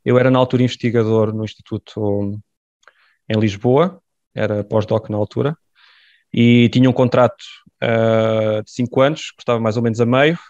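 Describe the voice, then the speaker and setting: A middle-aged man with a European Portuguese accent, answering interview questions in a measured, hesitant way with many pauses. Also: mid-range voice, fairly even intonation, a little mellow. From born in France, raised in Portugal. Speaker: guest. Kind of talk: conversational interview. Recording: dull dry lo-fi sound.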